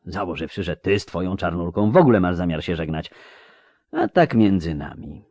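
An old vampire of fine manners from a fantasy audiobook , voiced by a male narrator. He speaks in a calm, measured, polite way on the low side, very close and dry. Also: no echo, full character voice. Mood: calm, wry.

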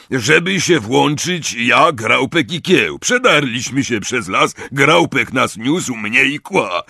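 A man voicing a huge, warm-hearted, boisterous half-giant in an audiobook, roaring and breathy, with excitable, rough colloquial speech. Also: medium pitch, no echo, clean studio sound, pitched above the performer's own voice, heavily acted. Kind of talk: audiobook character voice.

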